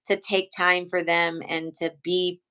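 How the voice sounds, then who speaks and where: Bright, smooth-voiced woman coach. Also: lowish voice, little pitch movement, measured pace. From San Antonio, Texas. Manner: earnest, rambling with many fillers and restarts. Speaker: head coach, interview guest. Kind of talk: casual interview answers.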